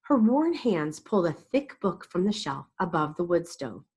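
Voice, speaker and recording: Expressive woman reading aloud, slightly husky. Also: fairly low pitch, moderate pace. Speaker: storyteller reading a picture book aloud to children. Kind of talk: expressive read-aloud narration.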